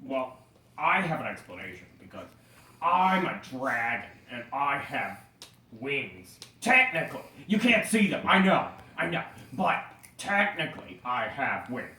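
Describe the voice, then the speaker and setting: An excitable, silly dragon puppet, swinging widely in pitch with slow, dramatic delivery. The childlike, stammering puppet-show voice comes from off the mic in an echoey room with faint noise. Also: female voice, far from the mic, exaggerated voice. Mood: defensive.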